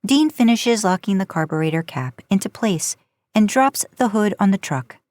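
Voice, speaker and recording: A woman's voice: medium pitch, animated, normal speed, darkish tone, no echo. A woman reading a story aloud in an informal, conversational audiobook style. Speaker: podcast host narrating fan fiction.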